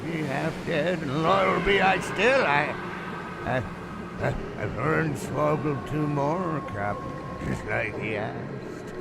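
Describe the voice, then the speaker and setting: A man's voice: on the high side, takes it slowly, creaky, heavy background noise. An actor playing a cowardly, loyal old pirate crewman who stammers in salty sea talk for a radio horror play. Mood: wheedling, loyal.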